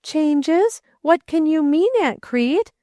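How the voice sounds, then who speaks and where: A woman's voice: high voice, expressive, takes it slowly, warm tone, no room sound, studio quality, pitched above the performer's own voice. A woman voicing an earnest young boy in a storybook reading, speaking eagerly and pleadingly in a raised voice. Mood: alarmed. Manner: eager, pleading, rising to outbursts. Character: earnest boy, grieving and indignant.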